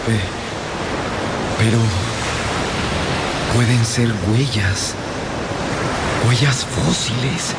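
An actor playing an amazed, hesitant expedition companion in a radio drama, speaking with halting wonder. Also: male voice, mid-range voice. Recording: loud sea-wave noise behind the voice.

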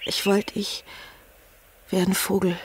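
An actress playing a young aristocratic lady in a radio drama, gentle, polite and wistful. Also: medium pitch, flat delivery, slow, background noise, natural voice. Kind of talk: German radio drama acting. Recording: studio radio drama with music.

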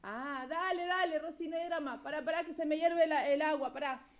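A woman acts out a young Rioplatense party girl in a radio play, scheming and sweet-talking her neighbor. Her voice sits high, her talk slangy and coaxing, full of stalling and pleading. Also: fairly quick, close to an everyday voice. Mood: chatty. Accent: Rioplatense Spanish. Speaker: radio drama actor. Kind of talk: amateur radio drama acting.

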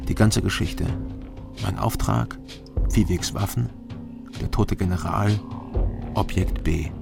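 Creaky-voiced, weary male investigator. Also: sits low, slow pace, full character voice. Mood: sober narrating. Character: weary, disillusioned East German male investigator telling his story. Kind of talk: radio crime drama acting and terse narration. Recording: studio drama with music behind.

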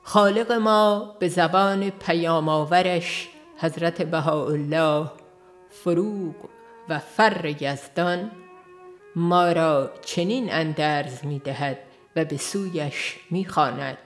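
A woman with a somewhat low voice reads a devotional book aloud, unhurried and earnest. She presses gently on her rhetorical questions. Quiet music plays faintly behind her. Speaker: audiobook reader. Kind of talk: scripted reading of a religious book.

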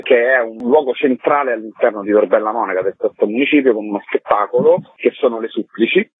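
Male theatre director, husky voice, phone interview, thin narrowband line, explanatory delivery. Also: medium pitch, no room sound. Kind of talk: radio interview, explaining a project. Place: phone call to a radio show.

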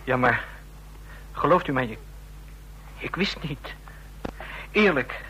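A nervous junior agent in a radio drama. His mid-pitched voice is sharp and tense, flustered and pleading, stumbling over his words on a slightly noisy old recording. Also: expressive, slow pace. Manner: flustered, stammering. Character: nervous, bungling male subordinate agent, anxious and pleading.

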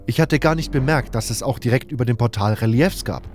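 A man's voice: mid-range voice, brisk, darkish tone. A man narrating a fantasy audio drama in the first person, as scripted storytelling. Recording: hall-like reverb, music underneath.

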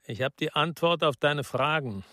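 A man's voice: fairly high pitch, moderate pace, pushed and bright, no echo, clean studio sound. An actor playing a blunt, dogged ex-policeman in a radio crime drama, speaking in a terse and direct way. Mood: businesslike.

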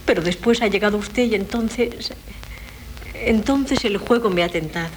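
An actress playing a coy young wife who feigns madness in a 1970s radio play, with hesitant, plaintive theatrical delivery. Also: female voice, mid-range voice, normal speed, lightly acted. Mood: coy, confiding. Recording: noisy 1970s radio recording.

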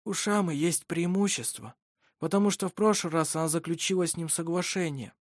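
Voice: medium pitch, a little fast, no echo, clean studio sound, voice pushed up, close to an everyday voice. Casting: grave grandfather for a fantasy audiobook, earnest, raised voice, resigned one reader acting him out. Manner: sighing, muttering, earnest.

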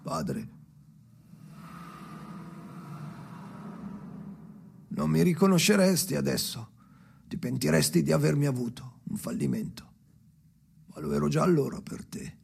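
Tormented ageing man, husky gravelly voice, bitter, brooding, halting fragments sinking into whispers, radio drama monologue. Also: on the high side, a little mellow, full character voice. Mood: anguished, bitter.

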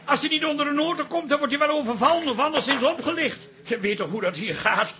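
A fretful husband from the country in a radio comedy. His voice is extremely high for a man and tense, forever worked up and complaining. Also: lively intonation, fast, bright, forceful tone, exaggerated voice. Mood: agitated, worried. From figure from Twente. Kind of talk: radio comedy drama acting.

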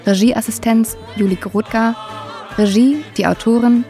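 A woman's voice: a little high, steady tone, a little slow, warm tone. A woman reading scripted radio play credits as an announcer, with music behind.

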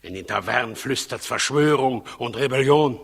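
A man's voice: medium pitch, moderate pace, vocal fry, husky. Imperious, paranoid king, ringing reverb. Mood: gloomy dread. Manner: theatrical, imperious, swinging from outbursts to bitter muttering. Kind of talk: 1960s German radio drama acting. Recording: 1960s radio studio, long reverb.

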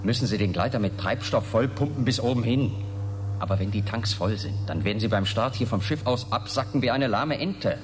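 An actor playing a gruff, cautious spaceship captain in a radio drama, curt, sardonic and commanding. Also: male voice, lowish voice, animated, brisk, a little hiss or hum, natural voice. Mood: sceptical. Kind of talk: German radio drama acting.

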